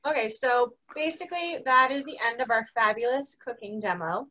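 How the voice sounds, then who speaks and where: A woman's voice: on the high side, expressive, fairly quick, sharp tone. A woman co-hosting an online session, chatting casually with an informal, joking manner. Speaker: co-host of an online cooking series.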